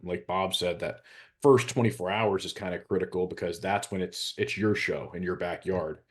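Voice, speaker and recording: American male, very low register. Hazmat specialist on a panel, informal but measured and matter-of-fact. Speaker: hazardous materials expert on a panel show. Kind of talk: informal explanatory discussion.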